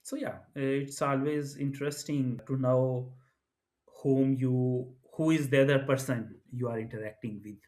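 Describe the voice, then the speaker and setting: A man's voice: medium pitch, steady tone, moderate pace, darkish tone. A man from Pakistan co-hosting a business culture talk, speaking thoughtfully with many restarts. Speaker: co-host. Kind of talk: conversational podcast discussion.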